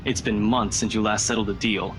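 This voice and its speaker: A man's voice: lowish voice, steady tone, fairly quick, over music, lightly acted, poor audio. A man playing a laid-back young investigator in a visual novel, casually and smugly teasing a friend.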